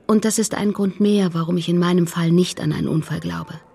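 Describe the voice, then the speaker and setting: A husky, guarded grieving mother. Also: lowish voice, steady tone, brisk, mellow. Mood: grave, convinced. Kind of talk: German radio drama dialogue.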